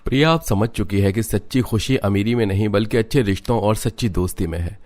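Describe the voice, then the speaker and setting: A man's voice: lowish voice, brisk, darkish tone. A man reading scripted narration for a moral cartoon story.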